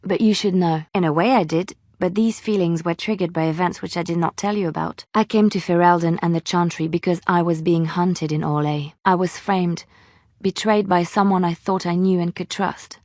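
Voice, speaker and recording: An actress playing a wistful female bard and former spy in a video game, with a gentle, measured, storytelling way of speaking. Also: fairly low pitch, steady tone, no echo, clean studio sound, close to an everyday voice. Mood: confiding, somber. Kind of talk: video game character dialogue.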